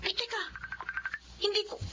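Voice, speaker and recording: A woman playing an earnest, expressive young daughter with a Filipino accent in an emotional audio drama. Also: normal speed, lightly acted. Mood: anxious. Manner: teasing, easily moved, expressive. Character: earnest, tearful young adult daughter and sister. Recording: muffled audio with faint background noise.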